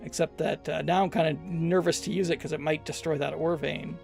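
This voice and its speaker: A Canadian man with a gravelly, fry-heavy voice that sits a little high for a man. He talks through a game stream in a loose, rambling way, teasing himself as he goes. Faint game music plays underneath. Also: fairly even intonation, brisk. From Alberta, Canada. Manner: loose, rambling and self-deprecating. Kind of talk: live gameplay commentary while reading chat.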